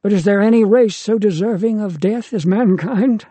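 A fervent old father figure speaks in a raised, impassioned voice, as a man puts him on. His tone carries a preacher's urgency, with a husky edge and a close, dry storybook sound. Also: sits high, normal speed, warm tone, dry recording, voice pushed up. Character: elderly male father, pleading and fervent.

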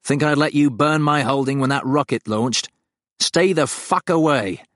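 A man voicing a gruff, tense man who speaks in blunt, clipped, profane bursts. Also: lowish voice, measured pace, bright, forceful tone, no echo, studio quality, heavily acted. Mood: hostile. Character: gruff, tense man defending his land.

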